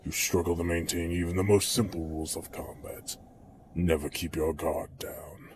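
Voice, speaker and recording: A man's voice: sits low, steady tone, moderate pace, darkish tone, close to an everyday voice. A man playing a cold, proud and merciless ninja assassin in an audio drama, stern and menacing. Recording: echoey room, music behind.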